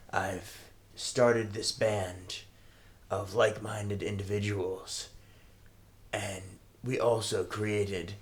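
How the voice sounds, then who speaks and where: Slow, measured man with a low voice. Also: a little hiss or hum. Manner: slow, measured, dramatic delivery. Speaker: player. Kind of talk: in-character introduction at a tabletop game.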